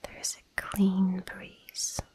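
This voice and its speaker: A woman softly whispering a guided ASMR visualization for relaxation and sleep, soothing and unhurried, with long pauses.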